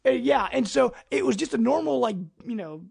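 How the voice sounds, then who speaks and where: A man's voice: very high voice, lively intonation, fairly quick, vocal fry, darkish tone, husky. A man, around his thirties, hosting a casual podcast discussion in a chatty, emphatic way. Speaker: podcast host.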